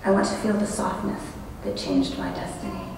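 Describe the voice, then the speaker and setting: A woman giving a prepared memorial tribute and poetry reading with measured, solemn phrasing. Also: very deep voice, huge pitch swings, darkish tone. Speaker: college friend speaking at a memorial. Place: large echoing hall at a memorial service. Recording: very echoey hall, distant, noisy ambience.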